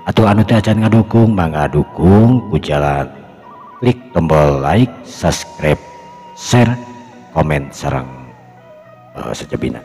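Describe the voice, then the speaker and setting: A man's voice: a bass voice, a little mellow. A man, hosting and telling a traditional folk story to his listeners. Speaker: storyteller and channel host. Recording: long echoing reverb, music behind. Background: music.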